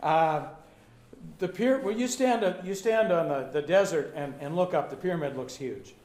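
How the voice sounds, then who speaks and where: A man in his sixties, a pastor teaching a Bible lesson in short phrases and pausing for an interpreter, with a friendly, plain-spoken manner. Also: a little high, darkish tone. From United States. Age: 60s. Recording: roomy hall with faint ambience.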